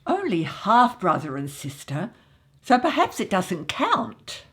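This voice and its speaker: A woman's voice: mid-range voice, huge pitch swings, takes it slowly, husky, soft background music, exaggerated voice. A woman playing a naive, lovelorn woman in a comic radio soap, with childlike, melodramatic pleading. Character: tearful, naive, lovelorn woman longing for marriage.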